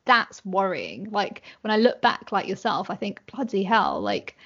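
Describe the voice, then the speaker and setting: An animated young woman chats as a podcast guest and keeps dropping "like" into her sentences. Her voice sits at a middle pitch, and the recording sounds slightly muffled. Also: unhurried, breathy edge. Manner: animated, chatty, many fillers and "like"s. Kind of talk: casual conversation.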